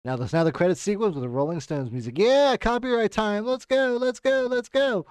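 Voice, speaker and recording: A man's voice: high voice, a little fast, smooth, dry recording. A man who streams games, giving casual, off-the-cuff watchalong commentary into a close mic. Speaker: game streamer, host.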